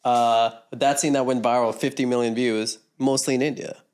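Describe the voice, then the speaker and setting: A man co-hosting a casual podcast chat, opinionated and teasing in quick back-and-forth. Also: mid-range voice, fairly even intonation, a little mellow, clear, resonant tone. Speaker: actor and writer, podcast co-host.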